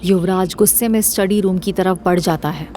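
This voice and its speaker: A woman's voice: lowish voice, moderate pace, mellow. A woman narrating a scripted dramatic story as the narrator of an audio series.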